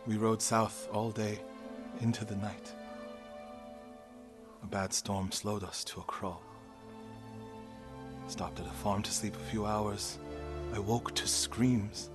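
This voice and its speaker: An actor playing an earnest, devout warrior monk of feudal Japan in a video game, speaking solemnly and with feeling. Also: male voice, lowish voice, fairly even intonation, slow pace, pauses often, over music. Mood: grieving. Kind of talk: dramatic video game acting.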